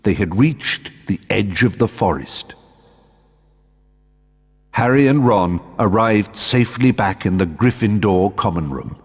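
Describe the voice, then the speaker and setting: An actor, a man with a British accent and a husky voice, narrating a fantasy adventure game like a storybook. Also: lowish voice, lively intonation, measured pace, darkish tone, full character voice. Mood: dramatic, storytelling. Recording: long reverb on the voice.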